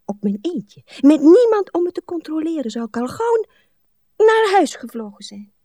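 Radio drama: fussy pious spinster, high voice, swooping intonation, martyred and often hushed. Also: female voice, big pitch swings, normal speed, no echo. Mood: hushed, self-justifying. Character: prim, pious, put-upon spinster. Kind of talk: radio play acting.

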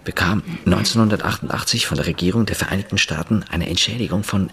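Deep gravelly male voice for a shaken journalist in a radio play, low and rough. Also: fast, a bit bright. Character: shaken journalist Pit Kern, beaten up. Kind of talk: radio drama acting.

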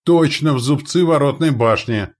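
Man as an excited battlefield lookout calling hits. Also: fairly high pitch, normal speed, voice pushed up, lightly acted. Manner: loud, excited reporting.

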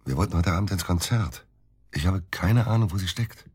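An actor playing a disgruntled middle-aged provincial surgeon, grumbling and curt, in a naturalistic radio drama. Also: male voice, low-pitched, a little fast, darkish tone, breathy edge, no echo, clean studio sound. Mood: worried. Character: disgruntled middle-aged provincial surgeon, worried about his missing wife.

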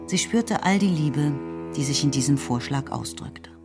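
A woman narrating a literary radio play, telling the story in a measured, articulate way. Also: deep voice, animated. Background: music.

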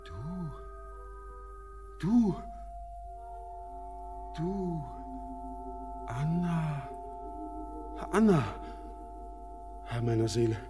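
A restless young miner, haunted in the dark, in a poetic radio play. His high voice is theatrical and very drawn out. Eerie music rings clearly behind him. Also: animated, extremely slow, long pauses. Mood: awed, fearful. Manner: theatrical, urgent calls and awed murmurs. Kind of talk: poetic radio drama acting.

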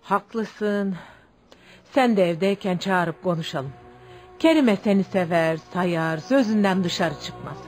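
A woman's voice: medium pitch, slow, faint music behind, natural voice. An actress playing a practical, concerned older village wife with a motherly, persuasive way of speaking in a radio drama. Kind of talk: radio theatre acting.

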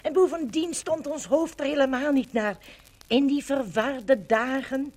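An actress playing an older Dutch wife who recalls liberation solemnly and theatrically in a 1950s radio docudrama. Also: female voice, high voice, a little slow, darkish tone. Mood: moved, solemn. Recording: noisy vintage 1950 radio recording.

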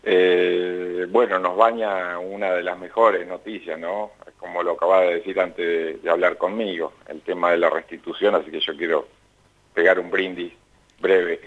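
A middle-aged man with an Argentine accent and a fairly low voice, speaking as a radio guest. He muses and rambles, breaking into frequent laughs, over a slightly muffled broadcast sound. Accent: Argentine Spanish. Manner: reflective, rambling, laughs often. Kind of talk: casual radio interview.

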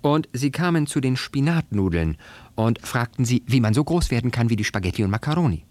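A man reads a children's tale with very lively, swooping intonation. His voice is medium in pitch and recorded dry and close, in an expressive storyteller's style. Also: brisk, no echo. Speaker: story reader.

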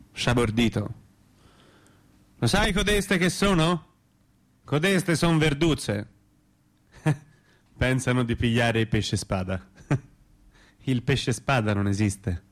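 Actor as a slow, dazed Tuscan dreamer. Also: male voice, medium pitch, close to an everyday voice. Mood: mocking. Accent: Tuscan Italian. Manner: slow, rambling, broken by sighs and chuckles. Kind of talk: theatrical radio drama monologue.

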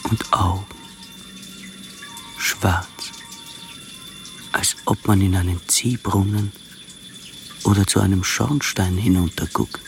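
Gravelly, swaggering soldier. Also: male voice, sits low, steady tone, takes it slowly, pauses often, exaggerated voice. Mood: lustful admiration. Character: coarse, swaggering lustful military man. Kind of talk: German-language radio play acting. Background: music.

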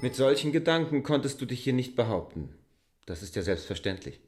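An actor playing a composed, sceptical man in a German radio play, speaking in a measured, argumentative way. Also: mid-range voice, big pitch swings, darkish tone, no room sound. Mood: calm rebuttal. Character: K, a sceptical, composed man dismissing a young woman's fantasies.

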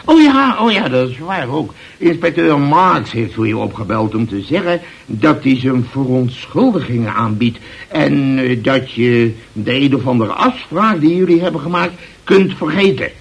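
Gruff older male boss, gravelly and husky, blustering, grumbling, old radio play. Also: medium pitch, lively intonation, moderate pace, muffled recording. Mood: awkward, conciliatory. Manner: blustering, hesitant, interrupting. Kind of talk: old radio drama acting.

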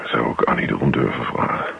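An actor playing a grumpy, self-pitying husband in a radio play, muttering to himself in a hesitant, put-upon way. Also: male voice, a bass voice, brisk, creaky, a bit bright, a little hiss or hum, exaggerated voice. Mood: weary, self-pitying. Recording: dull lo-fi radio play recording.

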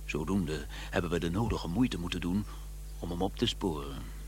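An actor playing a grumbling, proper gentleman investigator who also narrates a radio play, wry and easily exasperated, with a husky voice. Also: deep voice, normal speed, slight background noise, close to an everyday voice. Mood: wry narrating.

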